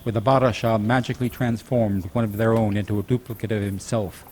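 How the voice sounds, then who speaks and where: A man's voice: lowish voice, fairly even intonation, a little fast, very noisy. A man giving scripted, dramatic narration as the storyteller of a fantasy radio play.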